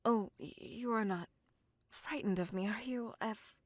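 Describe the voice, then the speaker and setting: A woman voicing a refined, possessive vampire in an intimate ASMR roleplay, with a breathy whisper and formal, old-fashioned phrasing. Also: mid-range voice, unhurried, no room sound, close to an everyday voice. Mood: apologetic, possessive. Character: refined, possessive, smitten female vampire courting a human.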